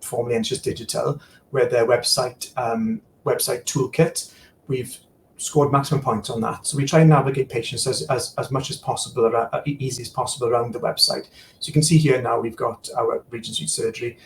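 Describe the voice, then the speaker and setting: Here is a man on a video call whose voice has a gravelly, creaky edge and sits at an ordinary middle pitch. He walks through a screen demo in easygoing chat, stumbling over fillers and repeated words. A faint hiss sits under him. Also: fairly even intonation, a little fast, rough recording. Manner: frequent fillers and repeated words while explaining. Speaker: guest, digital services supplier and former practice manager.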